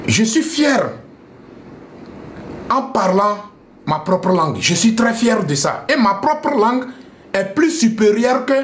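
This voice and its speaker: Scornful high-voiced man. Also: a bit bright, roomy sound, a little hiss or hum. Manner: scornful, repetitive, switches between French and a local language. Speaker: live streamer addressing viewers. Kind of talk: heated live-stream rant.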